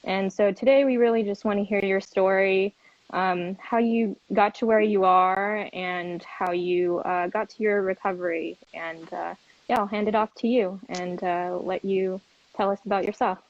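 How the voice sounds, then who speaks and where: A woman hosts a live interview. She introduces her guest in a soft-spoken, hesitant way, with plenty of fillers. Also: medium pitch, fairly even intonation, moderate pace, no echo. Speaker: host. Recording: dull, dry live-stream audio.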